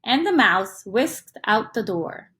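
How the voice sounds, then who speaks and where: A woman reads a children's story in a highish voice with big swings of intonation. She takes it very slowly and in a teacherly way, checking in with her young listeners. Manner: expressive, teacherly, asks the listener questions. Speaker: storyteller reading a picture book to young children. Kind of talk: interactive children's read-aloud.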